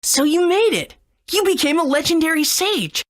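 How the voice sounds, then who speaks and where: A woman's voice: very high voice, expressive, moderate pace, no room sound, clean studio sound. An actress voicing an earnest, kind and curious young boy hero in a video game. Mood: amazed.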